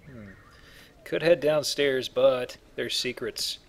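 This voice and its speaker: A man's voice: medium pitch, slow. A man giving casual, wry commentary as he plays a video game. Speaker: gamer hosting a let's play. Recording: faint noise behind voice. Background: game ambience.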